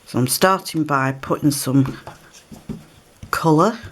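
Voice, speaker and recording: A woman with a cold giving a relaxed, chatty card-making tutorial, sometimes stumbling over her words. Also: sits low, takes it slowly. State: has a cold, occasional coughs. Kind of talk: crafting tutorial narration.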